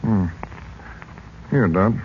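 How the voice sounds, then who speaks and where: An actor playing a grave, firm, middle-aged uncle who takes charge in a 1940s radio drama. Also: male voice, lowish voice, expressive, drawn-out words. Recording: dull, noisy 1940s radio recording.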